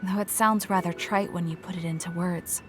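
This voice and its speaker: A woman acting as a young female soldier in a video game cutscene, her voice sitting fairly low. She is reserved and blunt, matter-of-fact in delivery with tenderness held underneath. Music plays clearly behind her. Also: steady tone, moderate pace, close to an everyday voice. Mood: earnest, restrained. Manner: cool, matter-of-fact, restrained. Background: background music.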